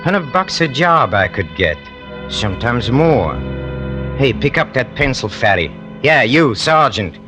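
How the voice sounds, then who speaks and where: An actor playing a bitter, cold-blooded hired killer who confesses in a brooding, halting way, in vintage radio drama style. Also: male voice, mid-range voice, very animated. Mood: cold pride. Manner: brooding, halting, repeats himself with menace. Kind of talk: 1940s-50s radio drama acting. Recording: old radio broadcast with music underneath.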